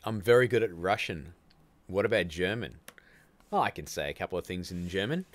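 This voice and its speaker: A man with an Australian accent and a low voice hosts a casual live stream. He rambles along in a chatty way, laughing often and calling his viewers "dude". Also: expressive, brisk. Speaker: livestream host.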